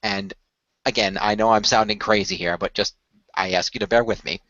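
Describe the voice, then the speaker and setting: A man's voice: lowish voice, fairly even intonation, fairly quick, a bit bright, no echo, lightly acted. A man playing an earnest, persuasive company recruiter in a radio play, speaking formally and wordily with careful hedges. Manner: formal, wordy, persuasive, hedging. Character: earnest male company recruiter pitching secret magical project. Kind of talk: improvised radio play roleplay. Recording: dull lo-fi voice recording.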